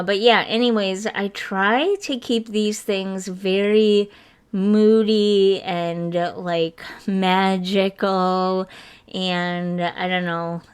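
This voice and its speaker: Slow-talking chatty woman, slightly rough voice. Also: mid-range voice. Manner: chatty and apologetic, rambling with fillers. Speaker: gaming video creator. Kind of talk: casual voice-over commentary over a speed build.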